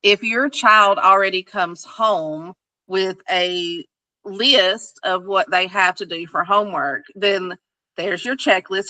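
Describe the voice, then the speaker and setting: A woman's voice: mid-range voice, sharp tone, dry recording, low-quality recording. A woman tutor on a podcast giving easygoing advice to parents, with many fillers.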